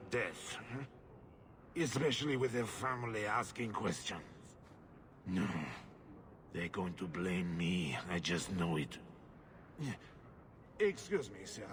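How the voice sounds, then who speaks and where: A very slow, halting man's voice plays an uneasy, evasive husband in a fantasy game. Music sits behind the mid-pitched voice. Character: evasive, uneasy, self-protecting human husband. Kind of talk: cinematic fantasy game acting.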